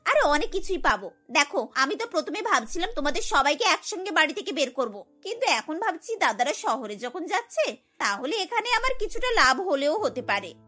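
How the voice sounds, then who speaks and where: Woman playing a high-voiced spiteful scheming young woman. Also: very animated, a little fast, pushed and bright, faint music behind, heavily acted. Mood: bossy, gloating. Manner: taunting, bossy, needling. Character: spiteful, scheming young married daughter bullying her sisters-in-law. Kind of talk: cartoon voice acting.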